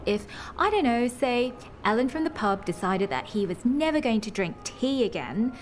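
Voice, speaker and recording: An actress playing a breezy, unbothered hairdresser in a radio soap, blunt and teasing. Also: female voice, a little high, big pitch swings, moderate pace, faint music behind. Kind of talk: radio soap opera acting.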